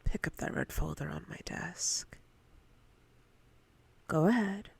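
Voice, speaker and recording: Casting: actress for predatory female manager, intimate ASMR roleplay. Slow, deliberate, commanding delivery, whispery with vocal fry. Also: fairly low pitch, full character voice. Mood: stern, intimidating. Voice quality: breathy, often whispered. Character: domineering, predatory female boss toying with a nervous employee.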